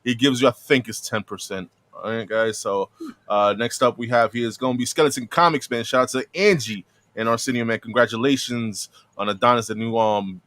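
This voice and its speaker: A man with a slightly hoarse voice hosting a live podcast in casual, slangy talk, often tagging sentences with "man.". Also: medium pitch, a little fast, fairly bright tone, no room sound. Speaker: podcast host.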